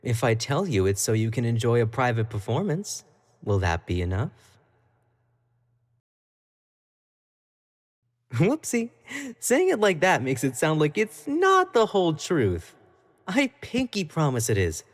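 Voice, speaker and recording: A man's voice: mid-range voice, wildly swooping pitch, normal speed. An actor playing an obsessive, clingy young male pop idol in an audio roleplay, talking to the listener in a coy, playful, doting way. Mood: coy, indulgent. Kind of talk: scripted roleplay monologue to the listener.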